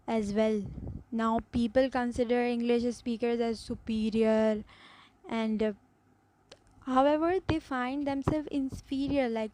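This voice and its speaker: A woman's voice: on the high side, warm tone, low-quality recording. Earnest woman with a Pakistani accent. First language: Urdu. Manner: earnest, explanatory, with restarts. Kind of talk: class presentation.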